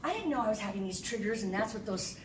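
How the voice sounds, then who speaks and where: A woman in her fifties giving an animated, candid and emotional personal testimony as a guest speaker. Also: mid-range voice, fast. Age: 50s. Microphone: room mic at a distance. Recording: echoey hall from far off, noisy.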